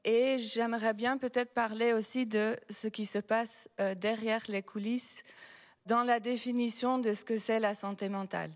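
A woman who is not a native French speaker, a researcher speaking as a panel guest, explaining at a measured pace with frequent hesitations. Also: a little high, fairly even intonation. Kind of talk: public panel talk. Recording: long hall reverb.

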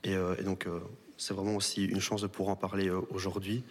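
A man's voice: deep voice, flat delivery, brisk. A young man speaking as a panel guest, hesitant and full of fillers. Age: young adult. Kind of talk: public panel talk. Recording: long hall reverb.

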